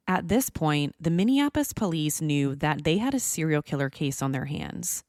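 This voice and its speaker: A woman's voice: deep voice, dry recording, clean studio sound. A woman hosting a podcast, reading scripted true-crime narration. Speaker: podcast host.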